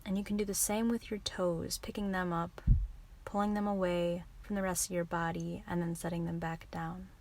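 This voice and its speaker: A woman leading a guided relaxation meditation as a yoga teacher, giving soothing, gentle instructions in an unhurried way. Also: fairly low pitch, little pitch movement.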